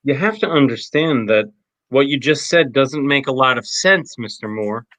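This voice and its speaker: A man's voice: mid-range voice, animated, moderate pace, a bit bright, dry recording, lightly acted. A man reading a skeptical male detective who questions a suspect in blunt, probing lines.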